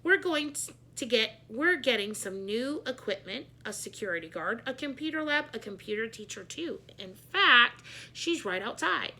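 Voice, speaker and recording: A woman's voice: a little high, animated, normal speed, sharp tone, a little hiss or hum. A woman voicing a corny, jolly male school principal in a children's storybook. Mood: earnest, upbeat. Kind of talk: children's book read-aloud.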